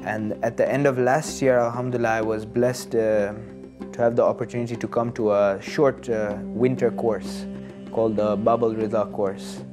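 A man in his early twenties from Tanzania, speaking as an interview guest in a measured, hesitant and earnest way. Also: medium pitch, little pitch movement. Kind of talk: studio interview, personal reflection. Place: studio. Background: plucked guitar music.

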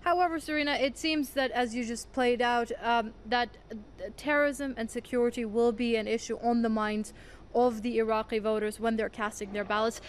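A woman's voice: a little high, fairly even intonation, normal speed, a little hiss or hum. A woman news anchor asking formal questions of a correspondent. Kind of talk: studio news interview.

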